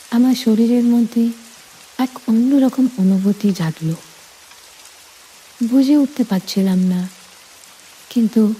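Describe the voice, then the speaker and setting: A woman narrating a scripted romantic story in the first person, deliberately, in short phrases. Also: mid-range voice, warm tone, slight background noise, poor audio.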